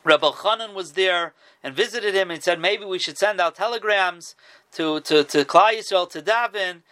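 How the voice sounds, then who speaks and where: Man, high pitch, casual storytelling, stumbly restarts. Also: moderate pace, sharp tone. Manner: restarts and repeats words, Yiddish and Hebrew terms. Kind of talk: casual spoken Torah storytelling.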